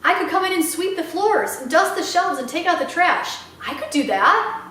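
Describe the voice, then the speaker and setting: A woman's voice: very high voice, lively intonation, normal speed, bright, forceful tone, far from the mic, slight background noise, voice pushed up, heavily acted. A woman voicing an earnest, polite ten-year-old girl, sincere and pleading, in a children's storybook reading. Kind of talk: children's storybook read-aloud. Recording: echoey room.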